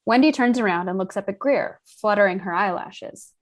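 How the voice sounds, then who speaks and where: A woman narrates screenplay stage directions at a table read. Her voice sits at a medium pitch as she reads the scripted scene descriptions. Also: normal speed.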